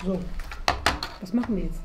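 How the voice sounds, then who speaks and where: A woman's voice: medium pitch, moderate pace, noisy background. A young woman improvising a police interrogation in a stern, questioning manner. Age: young adult. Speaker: host playing a police inspector in a game. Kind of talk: improvised role-play interrogation. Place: small furnished room indoors. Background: music.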